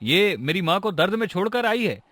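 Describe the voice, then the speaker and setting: Man acting an angry, sharp-voiced husband. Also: mid-range voice, lively intonation, a little fast. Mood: angry, complaining. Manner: sarcastic, scolding. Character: angry, accusing young husband and son-in-law.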